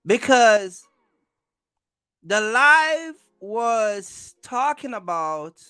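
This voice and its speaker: Bright, emphatic livestreamer, unhurried. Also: mid-range voice, very animated, clean tone, no room sound, faint music behind. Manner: emphatic, repeats phrases and restarts often. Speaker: livestream host, content creator. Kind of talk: casual livestream talk, rambling commentary.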